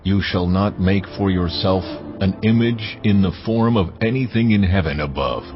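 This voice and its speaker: An actor playing God as a commanding, almighty male voice, speaking in a solemn and measured way in a dramatized Bible reading, with orchestral music behind. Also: low-pitched. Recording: muffled audio under orchestral music.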